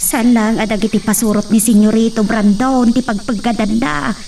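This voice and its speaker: A woman's voice: on the high side, steady tone, normal speed, creaky, darkish tone, far from the mic, slight background noise. An actor playing a worried middle-aged mother warning her daughter, in a radio drama.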